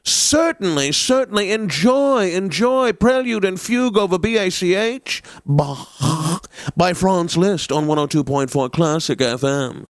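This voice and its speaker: Pompous, theatrical classical radio DJ. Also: male voice, high-pitched, expressive, no echo, studio quality. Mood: mock-earnest. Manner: pompous, flowery, drawn-out theatrical enunciation. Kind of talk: mock-highbrow classical radio announcing for a video game.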